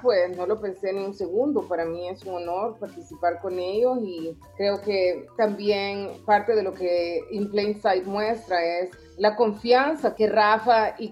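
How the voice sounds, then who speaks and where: A woman's voice: medium pitch, a little mellow. A woman from El Salvador, a professor and artist, answering interview questions in a thoughtful, earnest way. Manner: thoughtful, earnest, occasional restarts. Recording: slightly dull lo-fi sound.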